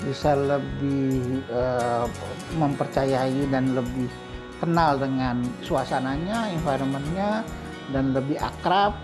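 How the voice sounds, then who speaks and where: Measured middle-aged man with background music. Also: medium pitch. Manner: measured, pauses often with fillers. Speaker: hospital director. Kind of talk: explanatory interview answers. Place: indoor hospital clinic. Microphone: lapel mic.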